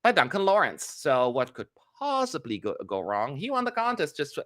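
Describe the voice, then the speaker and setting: A chatty man hosts a panel on a video call, his voice bright and full of lively rises and falls. He talks loosely, with plenty of fillers and "y'all", into a mic at home. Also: a little high, moderate pace. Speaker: host. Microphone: studio mic on a video call.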